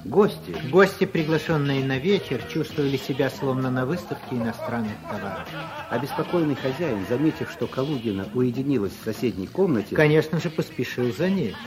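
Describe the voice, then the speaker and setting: A man narrating a 1970s radio play in a measured, gently ironic way. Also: mid-range voice, expressive, rough recording. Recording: narration over loud music and party chatter.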